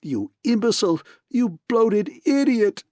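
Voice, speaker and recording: A man voicing a shrill, spluttering, tearful man in an audiobook reading. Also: big pitch swings, unhurried, warm tone, dry recording, studio quality. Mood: frantic.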